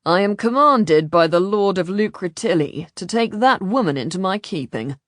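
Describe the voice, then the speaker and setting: A woman voicing a stern, businesslike male galley commander in an audiobook, flat, curt and commanding. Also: mid-range voice, normal speed, no room sound, clean studio sound, lightly acted.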